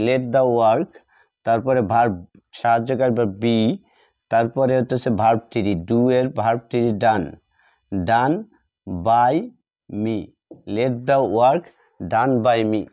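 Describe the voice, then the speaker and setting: Slow-talking male teacher, mellow voice. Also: medium pitch, muffled recording. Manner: deliberate, repeats phrases in short fragments. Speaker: English grammar teacher. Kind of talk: step-by-step lesson, dictating while writing.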